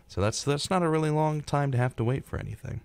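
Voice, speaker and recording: A young American man co-hosting a college radio show in casual chat, with halting phrasing and repeated words. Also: a little high, clear, resonant tone, no echo. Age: young adult.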